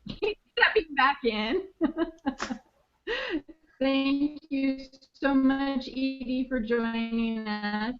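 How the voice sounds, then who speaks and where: A woman's voice: sits high, drawn-out words, long pauses, soft background music. A woman co-hosting a podcast, chatting casually, laughing often and restarting her sentences. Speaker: podcast co-host. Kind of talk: casual chat. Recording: dull lo-fi online call audio.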